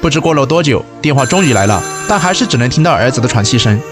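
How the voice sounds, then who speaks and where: A man's voice: medium pitch, animated, fast, music in the background. A man reading a scripted film recap as narrator.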